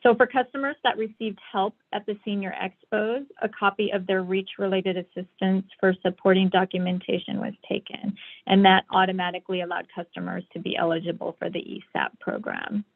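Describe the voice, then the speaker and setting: A woman's voice: medium pitch, animated, a little fast, creaky. Woman presenting, thin phone-line sound. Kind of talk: slide presentation on a conference call. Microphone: phone or online call.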